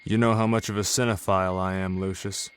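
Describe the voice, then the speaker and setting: A man's voice: low-pitched, flat delivery, a little fast, clean tone, lightly acted. A man voicing a grim masked vigilante who speaks in short, cold, commanding lines. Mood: terse.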